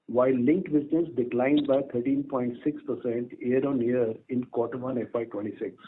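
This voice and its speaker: A man with an Indian accent giving a formal, scripted business update as a company executive. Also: a little high. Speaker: company executive on an earnings call. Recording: narrow phone line with background noise.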